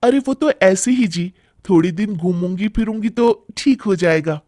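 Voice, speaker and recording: A man's voice: sits high, a little slow, darkish tone, dry recording, studio quality. A man voicing a sweet, whiny, naively greedy young wife in a cartoon story, coaxing and drawing out the words. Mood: defensive. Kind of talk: animated Hindi moral-story cartoon acting.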